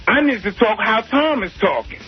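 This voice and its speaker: A man's voice: high voice, expressive, normal speed, lo-fi sound, faint music behind. A man calling a radio show, speaking emphatically in streetwise slang and repeating phrases for emphasis. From New York area, US. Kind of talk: animated call-in testimonial. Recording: dry, band-limited call on radio.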